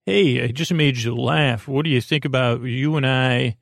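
A man hosting a sleep podcast in a gentle, reassuring, hesitant way, rambling through digressions and half-restarted sentences. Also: mid-range voice, moderate pace, gravelly, dry recording, clean studio sound. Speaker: host of a bedtime sleep podcast. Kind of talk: rambling, meandering monologue to lull listeners to sleep.

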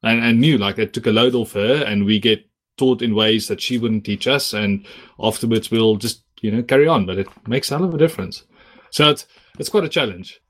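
Male podcast host from South Africa, native Afrikaans speaker, lowish voice, rambles chattily. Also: a little fast, a bit bright. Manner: chatty, rambling, many likes. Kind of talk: casual podcast interview.

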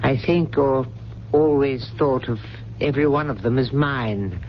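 An actor playing a stern, loyal old family butler in a 1970s radio drama, speaking in a grave, measured, formal way. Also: male voice, mid-range voice, lightly acted. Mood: grave, pointed. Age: older. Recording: muffled, noisy old radio broadcast.

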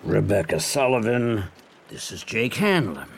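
An actor playing a sardonic, world-weary 1930s private detective in a noir audio drama, with a dry, wry, measured delivery. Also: male voice, fairly low pitch, sing-song, vocal fry, full character voice. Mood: cool, dismissive.

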